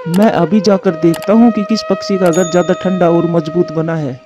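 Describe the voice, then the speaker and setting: A man's voice: high-pitched, fairly even intonation, moderate pace, music in the background, close to an everyday voice. A man voicing a henpecked, grumbling crow husband in a Hindi cartoon. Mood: compliant, plotting.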